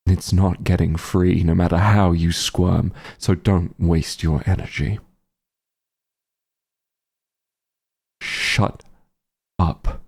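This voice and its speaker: A man playing a bitter, menacing fantasy werewolf hunter in an ASMR roleplay, speaking in a cold, deliberate, contemptuous way. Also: low-pitched, vocal fry, darkish tone, breathy edge, full character voice. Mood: accusing, contemptuous. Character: bitter, menacing werewolf hunter grieving his missing friend. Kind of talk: scripted ASMR roleplay, slow dramatic monologue.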